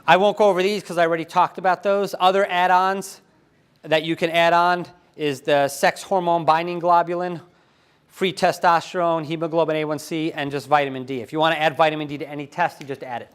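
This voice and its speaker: Man presenter, 40s, higher voice, runs through lab tests briskly at a seminar. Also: little pitch movement, fairly bright tone, clean tone. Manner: brisk, matter-of-fact listing. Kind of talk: conference presentation. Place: hotel conference room.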